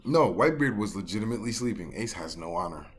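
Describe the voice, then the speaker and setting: A man hosting a casual read-along, reading a story aloud and breaking off for animated, slangy reactions and commentary. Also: lowish voice, fast talker. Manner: slangy, animated asides between narrated passages.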